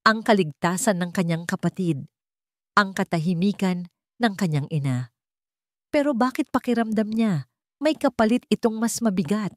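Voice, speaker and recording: A woman's voice: medium pitch, lively intonation, moderate pace, long pauses, dry recording, clean studio sound. A woman reading a romance story as a dramatic scripted narration.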